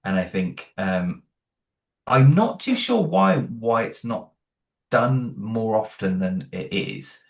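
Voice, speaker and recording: A man with a lowish voice talks through his plans in a loose, halting way, circling back and restarting his sentences. He is heard in a noticeably echoey room. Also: very animated, vocal fry, darkish tone. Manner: hesitant, many restarts and fillers. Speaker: guest, horror audio drama creator. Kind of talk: casual interview answers.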